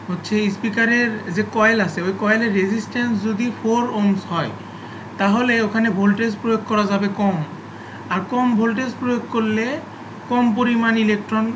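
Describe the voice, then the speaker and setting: A man giving an informal, unscripted technical explanation in a halting way, often repeating phrases for emphasis. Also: high voice. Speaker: explainer of physics and electronics. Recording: clearly noisy, constant background hum.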